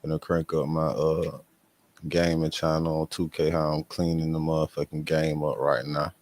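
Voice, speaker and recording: Deep-voiced man, blunt reaction host. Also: level tone, normal speed, darkish tone, dry recording. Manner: blunt, profane, slangy. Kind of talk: casual reaction commentary.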